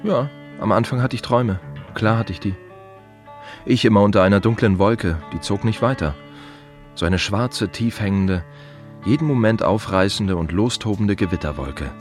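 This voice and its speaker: A man's voice: on the low side, moderate pace, faint music behind, natural voice. A man playing a plain-spoken gravedigger in a radio play monologue, musing wryly in short, repeated phrases. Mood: brooding, reflective. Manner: reflective, wry, clipped fragments with repeated phrases. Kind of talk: German radio drama monologue, conversational.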